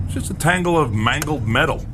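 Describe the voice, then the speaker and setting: A man's voice: fairly high pitch, expressive, moderate pace, noisy background, natural voice. An actor playing an earnest, impatient male writer in a radio drama, with an insistent, matter-of-fact, clipped delivery. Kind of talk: radio drama acting, conversational.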